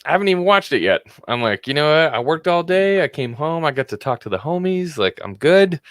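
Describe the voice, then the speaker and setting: A man's voice: on the high side, brisk, dry recording. A man in his late twenties co-hosting a podcast, chatting casually and acting out quotes as he tells a story. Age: 20s. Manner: animated storyteller, acts out quotes. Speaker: podcast co-host.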